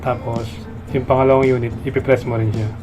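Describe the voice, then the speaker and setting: A man's voice: mid-range voice, level tone, quick pace. Filipino man giving a casual tutorial, music behind. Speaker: product demonstrator. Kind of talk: casual step-by-step product tutorial.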